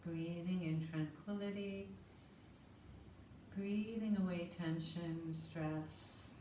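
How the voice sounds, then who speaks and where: Soothing, low-voiced woman guiding yoga. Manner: slow, soothing, calm cues. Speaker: yoga instructor. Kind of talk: guided yoga class instruction. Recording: roomy, distant mic with faint noise.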